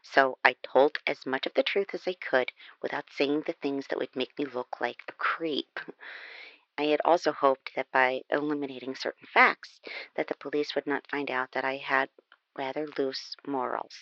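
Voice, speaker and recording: A woman's voice: extremely low pitch, fairly even intonation, normal speed, fairly bright tone, no echo, muffled recording. An actress reading a confessional letter as an apologetic, confiding convicted woman in a dramatic audio reading. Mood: confessional, explaining.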